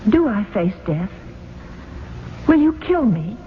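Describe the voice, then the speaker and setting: An actress playing a calm, compassionate, fearless young woman in a 1970s radio drama, earnest and composed. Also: mid-range voice, takes it slowly. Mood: defiant, composed. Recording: phone-like vintage radio broadcast, faint noise.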